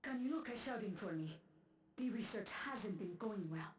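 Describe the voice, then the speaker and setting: An actress playing a lovestruck, fragile woman in a video game, speaking in a hushed, halting, wistful way. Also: mid-range voice, lively intonation, recorded from a distance, close to an everyday voice. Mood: soothing, uneasy. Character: lovestruck, fragile woman confessing devotion to her commander, a mother. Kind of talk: dramatic video game monologue. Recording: roomy echo under music.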